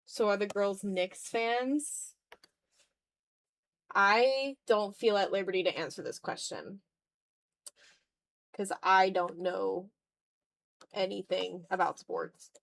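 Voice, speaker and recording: A woman's voice: mid-range voice, takes it slowly, creaky, long pauses. A woman livestreamer chatting casually while drawing, thinking aloud in fragments with a self-deprecating manner. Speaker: art livestreamer.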